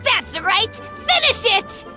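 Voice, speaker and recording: A plucky, competitive kid from a video game. The voice is very high and bright, excitable and swinging wildly in pitch, with music in the background. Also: female voice, slow pace, heavily acted. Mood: triumphant. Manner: excitable, exclaiming, gleeful. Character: eager, plucky, competitive child beetle fighter. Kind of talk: animated video game voice acting. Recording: music behind the voice.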